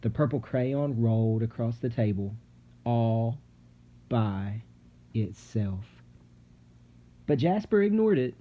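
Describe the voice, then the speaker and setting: A man reading a children's story aloud dramatically, with suspenseful, theatrical delivery and deliberate pauses. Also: mid-range voice, animated, phone-quality sound. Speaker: storybook narrator. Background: music.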